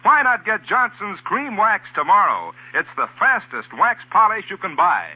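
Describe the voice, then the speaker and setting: A male announcer reading 1940s radio advertising in a polished salesman delivery, with a husky voice. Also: high voice, very animated, moderate pace, a little hiss or hum. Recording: muffled vintage radio broadcast.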